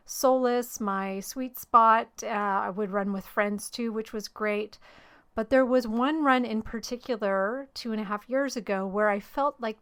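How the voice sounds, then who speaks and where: A middle-aged woman hosting her own podcast, slightly hoarse from losing her voice, talking openly and personally about her own story. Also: mid-range voice, fairly bright tone. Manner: open, personal storytelling with light laughs. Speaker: podcast host and life coach. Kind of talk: solo podcast monologue.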